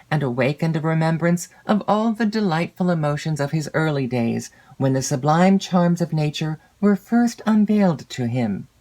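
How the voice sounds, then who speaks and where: A woman reading classic literary fiction as an audiobook narrator, in a measured, formal way with expressive phrasing. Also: sits low. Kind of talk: scripted literary narration of a classic gothic novel.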